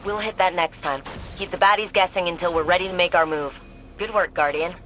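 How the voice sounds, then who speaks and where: An actress playing a studious, casual mission guide in a video game, with a wry, encouraging manner. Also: female voice, fairly low pitch, brisk, a bit bright, soft background music, natural voice. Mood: focused, wry. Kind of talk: scripted video game dialogue.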